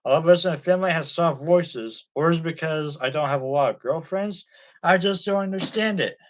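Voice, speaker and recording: A man reads as an indignant young male celebrity, his fairly high voice rising as he presses his questions. He sounds upset and insistent, stung by gossip rather than calm. The reading stays close to a plain audiobook voice. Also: a little fast, muffled recording, close to an everyday voice. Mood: pleading. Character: upset young male star denying rumors.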